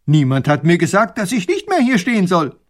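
A man's voice: high voice, expressive, a little fast, voice pushed up. Man putting on an eager, proud soldier. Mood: dutiful. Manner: dutiful, clipped military replies. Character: eager, literal-minded, proud young male guard.

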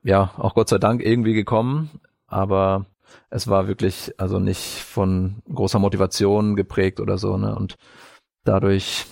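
A man from northern Bavaria telling his life story as an interview guest, halting as he searches for words. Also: lowish voice, flat delivery, creaky, darkish tone, dry recording, studio quality. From northern Bavaria, Franconia, Germany. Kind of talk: personal life story in conversation.

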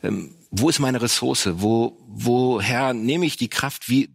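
A man, a pastor and father, speaking thoughtfully on a discussion panel with frequent pauses and fillers. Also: medium pitch, creaky, slightly rough. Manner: reflective, halting, with many fillers. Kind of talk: panel discussion on parenting and faith.

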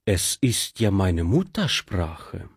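A man voicing a gentle, proud middle-aged pastor in a read-aloud story. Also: sits low, very animated, slow pace, vocal fry, husky, dry recording, studio quality, full character voice.

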